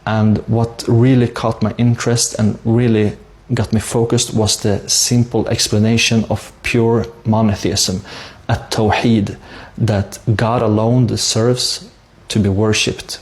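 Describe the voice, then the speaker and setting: A man with a Swedish accent shares a personal story. His voice sits fairly low, with a heavy creak in it. He stays measured and earnest throughout, keeping the melody very level. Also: level tone, darkish tone. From Sweden. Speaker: speaker sharing a personal conversion story.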